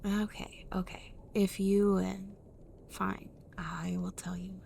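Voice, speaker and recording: A woman playing an affectionate, playfully teasing young friend in an ASMR roleplay, speaking in a soft, breathy, often whispered voice with a gentle, intimate manner. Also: medium pitch, little pitch movement, slow, a little hiss or hum.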